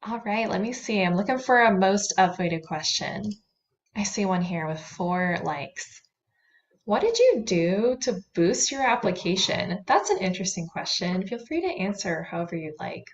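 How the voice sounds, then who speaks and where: A woman's voice: medium pitch, big pitch swings, moderate pace. A young woman in her twenties moderating a panel, upbeat as she reads out audience questions over a video call. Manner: upbeat, reading questions aloud. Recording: dull lo-fi call audio.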